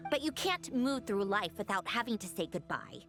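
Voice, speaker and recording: A woman playing a composed, logical young female android in a video game, measured, dry and matter-of-fact. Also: medium pitch, very animated. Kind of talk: dramatic video game acting. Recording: game dialogue under clear music.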